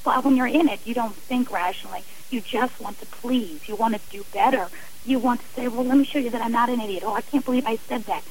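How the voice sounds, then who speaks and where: A woman's voice: fairly high pitch, quick pace, darkish tone, a little hiss or hum, poor audio. A woman speaking as an interview guest on radio, earnest and emphatic, explaining with examples and repeated phrasing. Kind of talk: radio interview, personal and advisory talk.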